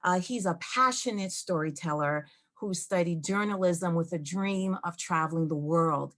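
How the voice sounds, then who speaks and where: Moderator, woman, lowish voice, polite and scripted with frequent fillers, heard over a video call. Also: moderate pace, a bit bright. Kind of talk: event introduction and interview.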